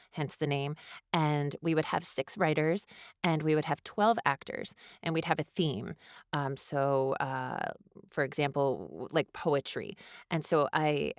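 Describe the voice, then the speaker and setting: A woman's voice: low voice, no echo, studio quality. A woman chatting casually as an interview guest, telling stories in a conversational way.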